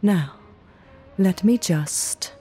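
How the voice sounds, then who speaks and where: A woman's voice: lowish voice, very slow, dark tone, faint music behind. A woman playing a playfully menacing, eccentric mob underling in an audio roleplay, speaking coyly in theatrical, old-fashioned phrases. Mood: coy, teasing. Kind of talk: ASMR audio roleplay acting.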